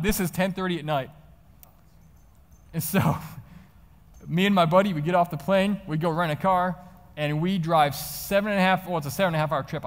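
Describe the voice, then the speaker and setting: An American man giving a motivational talk to an audience, telling personal stories in an animated, conversational way. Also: on the high side. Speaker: motivational speaker. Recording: reverberant hall, live stage sound.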